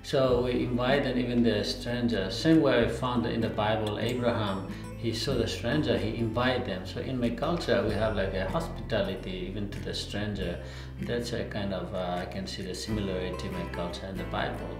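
A man's voice: lowish voice, normal speed. Bangladeshi man, simple broken English. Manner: simple, broken English, animated when quoting. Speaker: interviewee. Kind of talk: reflective testimony. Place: indoor studio with dark backdrop. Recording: roomy with guitar music. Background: clear guitar music.

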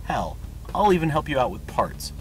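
An actor playing an eager, chummy middle-aged car mechanic, earnest, in a comic game cutscene. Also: male voice, mid-range voice, very animated, normal speed, slight background noise, lightly acted.

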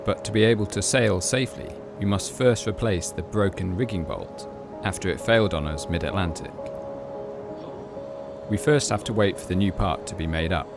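A man in his thirties narrating his sailing vlog in a relaxed, matter-of-fact way. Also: low-pitched, normal speed, music in the background. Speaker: sailing vlogger. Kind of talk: voice-over narration and casual chat on a boat.

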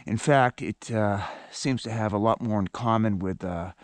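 A man's voice: fairly low pitch, moderate pace, no echo. A man casually narrating a backcountry ski tour outdoors, rambling with many fillers. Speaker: ski vlogger. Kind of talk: casual narration while skiing. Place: outdoors on a snowy mountain trail.

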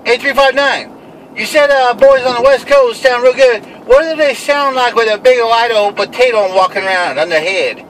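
A very high-voiced man chats in playful, repetitive CB call-outs. He sounds some distance from the microphone, inside a vehicle with some background noise. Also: moderate pace, fairly bright tone. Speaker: CB radio operator. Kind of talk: CB radio calling chat with repeated handles. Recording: distant mic in noisy vehicle.

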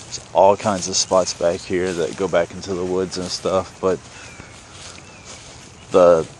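Deep low man, thirties, casual rambling vlog talk, handheld camera outdoors, clear background noise. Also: flat delivery, slow pace, darkish tone. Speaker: outdoor vlogger. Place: outdoors in woods and fields. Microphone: handheld camera at arm's length.

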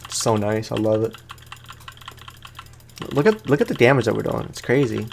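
A man's voice: lowish voice, expressive, normal speed, creaky, a little hiss or hum. A man giving casual let's play commentary on a video game, chatting to viewers in slangy language. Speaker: gaming YouTuber.

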